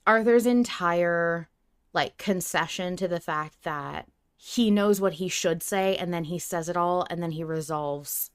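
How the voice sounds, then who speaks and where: Enthusiastic woman with a clear, lowish voice. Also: unhurried, no room sound, studio quality. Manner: enthusiastic, emphatic. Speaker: podcast co-host. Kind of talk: casual fan discussion.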